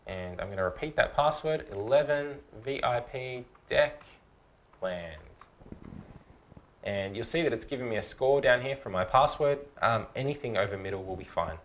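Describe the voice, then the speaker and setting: A man's voice: medium pitch, expressive, normal speed, a bit bright. A man in his thirties presenting a casual, step-by-step tutorial in an informal, explanatory way. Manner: informal, explanatory, checks along with okay.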